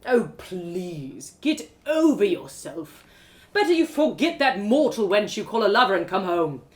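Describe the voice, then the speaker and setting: A woman voicing a stern, scolding male elf warrior with a pompous, drawn-out delivery in a fantasy story. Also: medium pitch, very animated. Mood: scornful.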